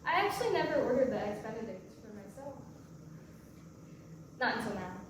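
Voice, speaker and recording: An actress playing a troubled, guilt-ridden mother who has struggled with drinking, speaking haltingly in a staged play reading. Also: on the high side, sing-song. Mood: wistful, nostalgic. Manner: halting, stammering delivery. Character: troubled recovering-alcoholic mother, hesitant, guilt-ridden, confused. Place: large echoey room, live staged reading. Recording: echoey hall from far off, noisy.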